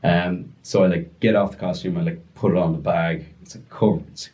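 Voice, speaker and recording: A young man telling a story casually on a podcast, animated and breaking into voices as he retells it. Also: deep voice, a little fast. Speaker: podcast guest.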